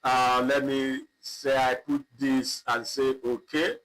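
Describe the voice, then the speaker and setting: A man teaching a live online spreadsheet lesson, talking slowly and repeating himself as he clicks through data. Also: mid-range voice, level tone, fairly bright tone, poor audio. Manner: slow, repetitive, thinking aloud while clicking. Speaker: instructor. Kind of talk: live online software tutorial.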